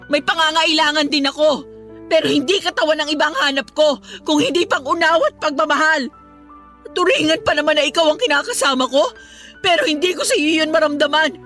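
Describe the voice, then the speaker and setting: Radio drama role: defiant, emotional young mother, sharp high voice, hurt by her partner. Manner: emotional, indignant, pleading. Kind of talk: Tagalog radio drama acting.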